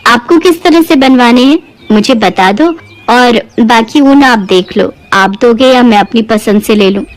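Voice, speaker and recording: A woman's voice: a little high, a little slow, lightly acted. A woman voicing a humble, earnest village knitter who speaks politely and modestly in a Hindi moral-story cartoon. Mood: polite, eager.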